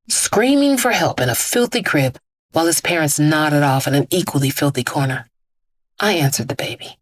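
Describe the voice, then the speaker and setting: Actress as immortal vigilante bag lady, low female voice, solemn, incantatory, grieving mother, audio drama monologue, dry close sound. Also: no room sound. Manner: deliberate, solemn, incantatory storytelling with repeated phrases.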